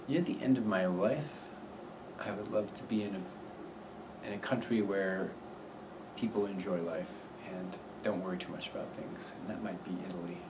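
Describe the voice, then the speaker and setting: A middle-aged man with a fairly low voice, recorded a little away from the microphone with clear noise around him. He answers interview questions in a measured, thoughtful manner. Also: distant mic. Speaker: interviewee, viola player and teacher. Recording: off-mic with clear background noise.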